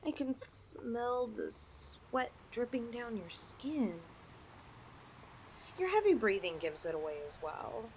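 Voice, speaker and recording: Woman voicing a high, lilting, possessive lamia girl. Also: big pitch swings, slow pace, a little mellow, slight background noise, exaggerated voice, low-quality recording. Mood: teasing, predatory. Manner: coaxing, playful, dramatic swings in delivery. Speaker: audio roleplay performer. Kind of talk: ASMR roleplay, acted monologue addressed to listener.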